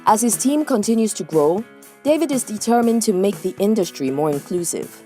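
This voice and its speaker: A woman narrates a scripted news profile. Her tone is dark and mellow, with a lively, wide-moving pitch. Light guitar music plays beneath her. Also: moderate pace.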